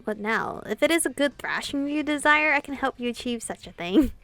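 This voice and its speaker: Girl voicing a proud formal fairy knight guy in a visual novel, old timey talk, highish voice. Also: animated, a little fast, a bit bright, soft background music. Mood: stern, proud. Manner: stately, archaic wording.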